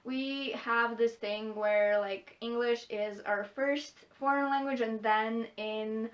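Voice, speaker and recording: A young woman from Latvia, a native Russian speaker, chatting casually in a vlog and often pausing to search for words. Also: a little high, fairly even intonation, low-quality recording. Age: young adult. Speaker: language vlogger. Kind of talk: casual chat to camera answering questions.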